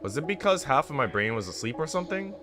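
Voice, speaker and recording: A man's voice: mid-range voice, sing-song, quick pace, music in the background, pitched above the performer's own voice, natural voice. A man reading aloud as a reflective, uncertain young woman narrating a visual novel.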